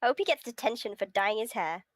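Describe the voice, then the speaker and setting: A put-upon teenage schoolboy, voiced by a woman, sardonic and grumbling. The voice is fairly high and recorded very dry, in the style of a visual novel read aloud. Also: animated, fast, dry recording, close to an everyday voice. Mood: brooding. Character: beleaguered, sarcastic teenage schoolboy protagonist.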